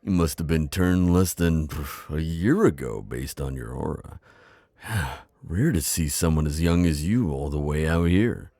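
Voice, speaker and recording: A man playing a friendly, wise old vampire innkeeper in an ASMR roleplay, with a deep gravelly voice and a chatty, reassuring manner. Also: expressive, unhurried, mellow, no echo, clean studio sound, heavily acted. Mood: curious, friendly. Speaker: roleplay audio performer. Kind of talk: immersive ASMR roleplay acting.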